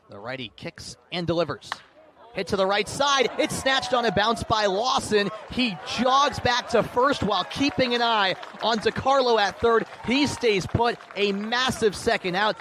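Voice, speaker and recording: A man doing live baseball play-by-play commentary, narrating pitch by pitch in short, clipped phrases. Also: expressive, a little slow, pushed and bright. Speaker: play-by-play announcer. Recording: clear outdoor ballpark background noise. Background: outdoor ambient noise.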